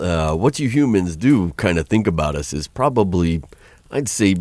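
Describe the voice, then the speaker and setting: Man voicing a very deep-voiced, hesitant vampire captor. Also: no room sound, exaggerated voice. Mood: awkward, candid. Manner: hesitant, stammering, conversational. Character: male vampire captor, dangerous yet awkwardly kind and flustered. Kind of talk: ASMR roleplay monologue.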